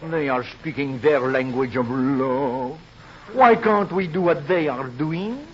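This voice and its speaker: An actor playing a suave, amorous lover who woos in a gushing, melodramatic way in 1940s radio comedy style. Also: male voice, medium pitch, takes it slowly, close to an everyday voice. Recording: muffled old radio recording, faint hiss.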